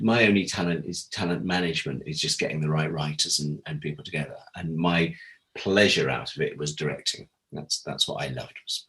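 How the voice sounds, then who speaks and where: A man talking casually as an interview guest, an animated storyteller who recounts anecdotes and acts out remembered conversations. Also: low-pitched, low-quality recording. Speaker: interview guest, audio drama producer and director.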